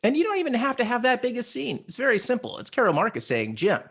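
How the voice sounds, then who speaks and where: A man's voice: extremely high pitch, huge pitch swings, fast talker, vocal fry, fairly bright tone, husky. A man on a podcast panel giving emphatic opinions in casual conversation, now and then swearing. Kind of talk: casual, opinionated film discussion.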